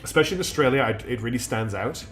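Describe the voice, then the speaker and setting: Man, live game streamer, slightly congested, thinking aloud, mid-pitched voice, soft music in background. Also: expressive, racing. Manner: thinks aloud, chatty, many ums and restarts. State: slightly blocked nose.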